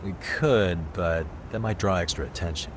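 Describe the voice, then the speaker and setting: A man's voice: deep voice, normal speed, background noise, close to an everyday voice. A man playing a shrewd detective with casual, dry humor in a video game dub. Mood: practical, wry.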